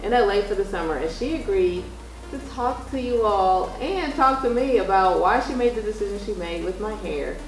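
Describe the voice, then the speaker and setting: A woman's voice: medium pitch, lively intonation, normal speed, faint music behind. A young woman telling stories in a casual, chatty vlog style, acting out dialogue as she goes. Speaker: vlog host interviewing mother. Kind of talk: casual storytelling chat. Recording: roomy echo with background noise.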